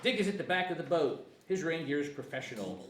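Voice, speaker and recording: Lively male narrator in echoey room. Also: on the high side, fast. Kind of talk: reading screenplay directions at a table read. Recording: echoey room, faint noise.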